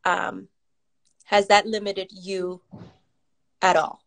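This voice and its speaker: A woman acts as the interviewer in an informal conversation. She speaks at an unhurried, slow pace in a mid-range voice. Her manner is supportive and agreeable as she puts her questions. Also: medium pitch, flat delivery, creaky, fairly bright tone. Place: remote live video chat.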